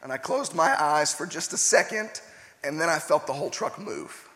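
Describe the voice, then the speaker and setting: Young male preacher, hoarse voice, slightly high pitch, chatty with lots of fillers, long hall reverb. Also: expressive, normal speed, pushed and bright. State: hoarse, partly lost voice. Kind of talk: casual sermon with personal story.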